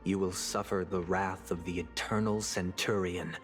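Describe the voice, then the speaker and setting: An actor playing a suave, cunning, sanctimonious villain in a video game, speaking formally and with measured menace. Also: male voice, low-pitched, fairly even intonation, close to an everyday voice. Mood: solemn menace. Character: suave, coldly cunning male villain, sanctimonious clergyman-like authority. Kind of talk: dramatic video game voice acting. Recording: music behind the voice.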